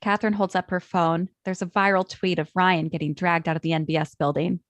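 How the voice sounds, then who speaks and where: A woman's voice: on the low side, fairly even intonation, brisk, no room sound. A woman narrator reading stage directions at a script table read.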